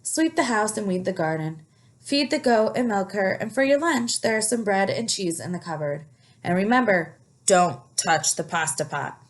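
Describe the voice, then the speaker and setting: A woman's voice: medium pitch, animated, moderate pace, close to an everyday voice. A woman voicing a firm old grandma witch from a storybook, giving instructions and chanting spells. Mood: firm, instructing.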